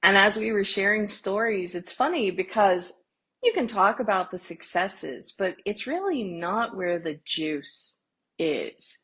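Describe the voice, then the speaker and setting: Husky-voiced woman podcast host. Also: mid-range voice, animated, measured pace, fairly bright tone. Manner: chatty, encouraging. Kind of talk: casual podcast interview.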